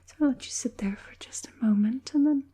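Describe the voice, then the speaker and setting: A woman playing a sinister, coaxing witch puppet master in a whispered ASMR roleplay, speaking softly and deliberately in a breathy whisper. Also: a little high. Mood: gleeful, hushed. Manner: slow, soothing, deliberate, menacingly sweet.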